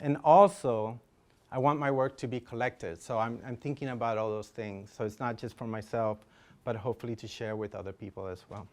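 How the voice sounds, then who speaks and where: Male guest lecturer from Honduras, very clean resonant voice, candid and modest, informal and halting delivery. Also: mid-range voice, fairly even intonation. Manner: hesitant, humble, candid. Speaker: fine-art photographer, guest speaker.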